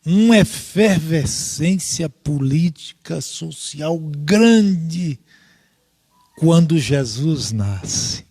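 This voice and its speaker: A man with a Brazilian accent teaching a Bible study in a deliberate, halting way, often checking in with listeners. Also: on the high side, darkish tone, dry recording, clean studio sound. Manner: deliberate, halting, with frequent rhetorical check-ins.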